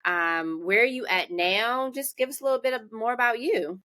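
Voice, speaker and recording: A woman's voice: on the high side, moderate pace, pushed and bright. A woman hosting an interview podcast, talking in a conversational introductory style to listeners and her guest. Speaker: podcast host.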